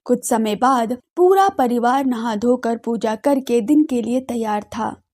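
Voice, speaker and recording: A woman's voice: a little high, unhurried, darkish tone. A woman narrating an audio drama in a scripted storytelling style.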